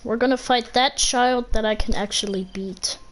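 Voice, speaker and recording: A woman's voice: fairly high pitch, fairly even intonation, moderate pace, noisy background. A woman doing casual gameplay commentary as a streamer, complaining in a blunt way. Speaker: game streamer. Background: game music.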